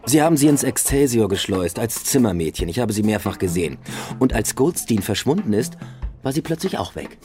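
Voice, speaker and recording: Cool pushy cop, low-ish voice, radio drama, music behind. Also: male voice, sing-song, a little fast. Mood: insistent. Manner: direct, insistent. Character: dogged police detective, coolly pressuring, adult man.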